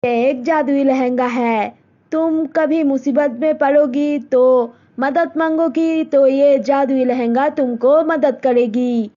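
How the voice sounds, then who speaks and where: A woman's voice: high-pitched, steady tone, slow. A woman voicing a kind, generous female magician who reassures a friend in a cartoon. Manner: warmly reassuring. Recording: dull lo-fi recording.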